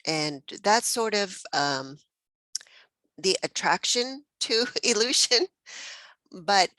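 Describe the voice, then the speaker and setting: A woman's voice: mid-range voice, expressive, takes it slowly, pushed and bright, no room sound. A woman from Mexico hosting a spiritual text study group, thinking aloud with many fillers and easy laughs. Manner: reflective, many fillers and restarts, laughs often.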